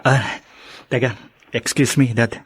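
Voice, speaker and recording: Polite, dutiful young son, dry sound. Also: male voice, mid-range voice, fairly even intonation, takes it slowly, breathy edge, no room sound. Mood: polite, eager. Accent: Filipino. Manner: polite, respectful. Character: dutiful, kind-hearted adult son. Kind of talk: radio drama acting.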